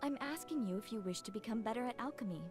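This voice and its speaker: A woman's voice: a little high, lively intonation, fast talker, close to an everyday voice. A woman playing an unflappable talking book in an anime game, speaking in a composed, formal, matter-of-fact way. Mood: calm, instructive. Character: blunt, unflappable female talking book with lost memory. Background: game music.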